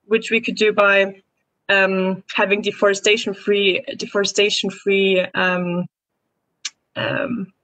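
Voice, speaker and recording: A woman in her twenties from Austria, giving an earnest webinar presentation over a video call and pausing now and then to find her words. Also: mid-range voice, level tone, a bit bright. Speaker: youth climate activist presenting. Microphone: computer mic on a video call.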